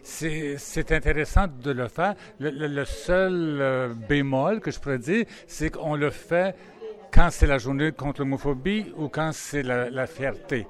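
An older man with a Franco-Ontarian accent speaking in a measured, thoughtful way. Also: fairly high pitch. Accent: Franco-Ontarian French.

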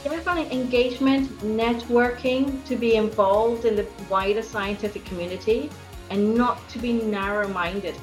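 A woman's voice: a little high, fairly even intonation, normal speed, soft background music, poor audio. A woman with a mixed international accent from Scottish and Norwegian roots, chatting as a guest scientist in a podcast interview, laughing often and using many fillers. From Norway.

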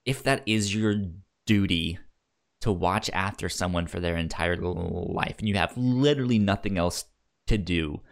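A man co-hosting a podcast in casual chat, talking haltingly with frequent stutters and repeated syllables. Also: low voice, creaky. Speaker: podcast co-host discussing a film.

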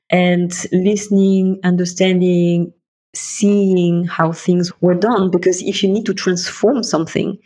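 Middle-aged woman from bulgaria, lowish voice, calm thoughtful interview answers. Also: breathy edge. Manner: reflective, measured, enumerates points. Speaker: guest, investment partner.

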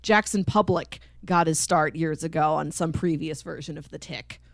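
A woman's voice: deep voice, normal speed, gravelly, no room sound, clean studio sound. A woman chatting casually as co-host of a podcast.

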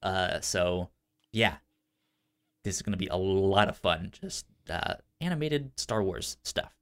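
A man with a stammer chatting casually and enthusiastically as a podcast co-host, often halting on repeated sounds. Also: deep voice, animated, a bit bright, smooth. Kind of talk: casual unscripted podcast chat about entertainment news.

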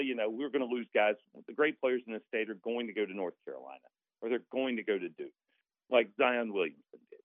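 Male sports announcer as call-in guest, narrow phone-band sound, mid pitch, chatty and folksy analysis. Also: no echo. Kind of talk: conversational basketball analysis. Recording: tinny phone-like line.

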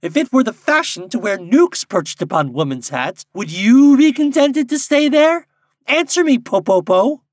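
A man's voice: high voice, sing-song, normal speed, dry recording, clean studio sound, pitched above the performer's own voice. A man voicing a small, indignant, argumentative storybook songbird. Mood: outraged.

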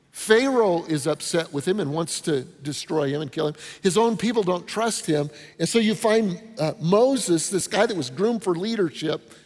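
A man preaching a conversational sermon as a pastor, with rhetorical questions, punchy short phrases and informal asides. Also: high voice. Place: large indoor hall before an audience. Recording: reverberant hall with long echo.

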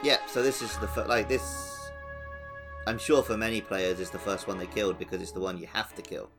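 Podcast co-host, male, low register, casual analytical explainer, light music bed. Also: fairly even intonation, a little fast. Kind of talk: casual video game discussion.

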